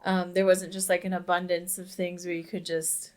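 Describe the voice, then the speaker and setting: A woman's voice: lowish voice, completely flat delivery, normal speed. A young adult woman co-hosting a casual podcast discussion, talking emphatically and dropping "like" in very often. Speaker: podcast co-host.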